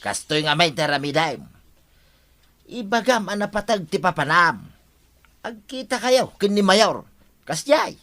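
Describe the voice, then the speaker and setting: An actor playing a smug, smooth-talking philandering husband in an Ilocano-accented radio comedy drama. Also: male voice, high-pitched, sing-song, a little slow, pauses often, fairly bright tone, heavily acted.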